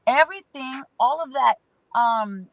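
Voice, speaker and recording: A woman's voice: high-pitched, a little slow, vocal fry, no echo, rough recording. A woman appearing as an astrology guest, giving spiritual advice in an emphatic way that stacks phrases into lists.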